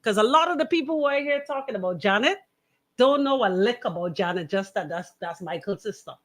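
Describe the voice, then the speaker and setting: A woman's voice: mid-range voice, expressive, brisk, a bit bright, no echo. A woman with a Bahamian accent hosting casual, opinionated radio banter in an emphatic, colloquial way, often throwing out rhetorical questions. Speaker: radio talk show host. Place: radio studio.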